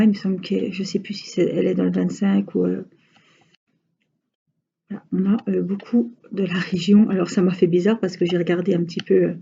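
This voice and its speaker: A woman's voice: lowish voice, creaky, warm tone, husky, muffled recording. A woman with a regional Franche-Comté accent chatting casually to her viewers while crafting, rambling with many hesitations. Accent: Franche-Comté French. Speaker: crafting vlogger.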